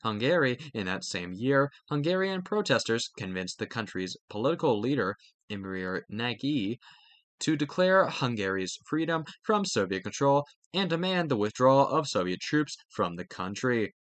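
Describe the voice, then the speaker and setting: Man reading aloud with lively, swinging pitch. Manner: halting, stumbles over words. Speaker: reader of a study read-aloud. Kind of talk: reading a textbook aloud with brief asides.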